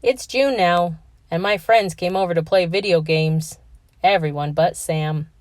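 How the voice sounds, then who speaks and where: A woman's voice: on the low side, unhurried, dry recording, clean studio sound. Woman reading a picture book aloud. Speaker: children's book reader.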